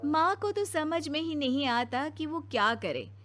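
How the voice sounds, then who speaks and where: A woman's voice: high voice, expressive, unhurried, over music. A woman narrating a scripted cartoon story.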